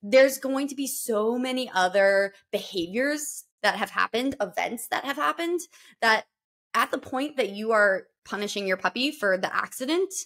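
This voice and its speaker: A woman's voice: on the high side, lively intonation, moderate pace, fairly bright tone. A woman speaking as a guest dog trainer on a podcast, explaining things in an earnest, patient way. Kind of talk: podcast conversation.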